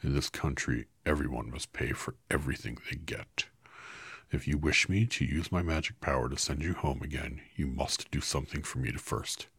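A man voicing a stern, commanding wizard who appears as a giant head, speaking slowly and heavily in a deep, husky voice. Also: a bit bright, no room sound, studio quality, heavily acted. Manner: slow, commanding, heavy.

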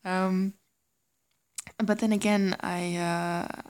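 A young German woman with a light German accent, chatting casually and giggly, often slipping into a soft whisper. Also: fairly low pitch, flat delivery, extremely slow, clean tone. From Germany, raised in Singapore. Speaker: audio creator. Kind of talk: casual chat reading word lists.

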